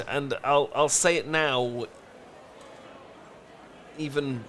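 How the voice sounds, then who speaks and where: A British man acting a flustered, emotionally repressed and lovesick best friend in a comedic romantic audio roleplay, stammering and pleading in halting phrases. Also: medium pitch, fairly bright tone, a little hiss or hum.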